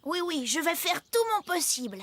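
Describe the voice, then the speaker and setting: A woman's voice: extremely high pitch, animated, moderate pace, fairly bright tone. A woman voicing an eager, hardworking, easily flustered young tractor in an earnest, exclamatory way for a French children's cartoon. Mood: willing.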